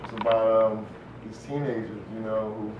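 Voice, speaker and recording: A man's voice: lowish voice, steady tone, normal speed. A man giving a casual interview as a guest, rambling along in a conversational way and often saying "you know.". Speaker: interview guest, stage play writer and producer. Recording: very echoey, distant, dull, noisy room.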